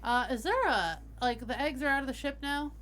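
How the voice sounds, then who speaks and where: A woman's voice: high voice, lively intonation, brisk, fairly bright tone. A woman playing a tabletop game, chatting casually in blunt, slangy, sweary language over background music.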